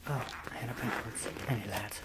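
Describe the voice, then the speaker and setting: A woman playing a possessive, soothing vampire doctor in a breathy, hypnotic whispered ASMR roleplay. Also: extremely low pitch, very noisy. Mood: businesslike, muttering. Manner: slow, hypnotic, softly commanding. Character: possessive, soothing female vampire doctor turning a human into her thrall.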